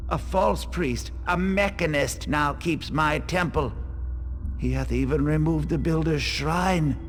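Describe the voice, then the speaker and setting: An actor playing a devout priest of the old ways in a video game, speaking in a solemn, archaic, disapproving manner. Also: male voice, a little high, slow pace. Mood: bitter, indignant. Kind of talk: theatrical video game voice acting. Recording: long echoing hall, music behind.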